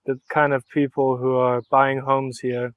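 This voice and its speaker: A man's voice: mid-range voice, flat delivery, moderate pace, no room sound, narrow, tinny audio. A young man from Mallorca, an artist being interviewed on a podcast, talking in an earnest, reflective way and thinking aloud in long flowing sentences. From Mallorca, Spain. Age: young adult. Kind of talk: relaxed interview conversation.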